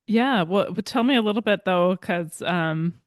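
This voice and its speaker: A woman's voice: mid-range voice, normal speed, no room sound, studio quality. A woman hosting a podcast, chatting casually, asking curious questions and laughing often. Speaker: podcast host. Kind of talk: casual interview chat.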